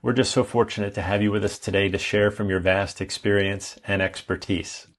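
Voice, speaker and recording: A man's voice: lowish voice, fairly even intonation, brisk. A man hosting an interview series, reading a polished, welcoming introduction and asking questions. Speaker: interview host. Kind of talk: scripted show introduction.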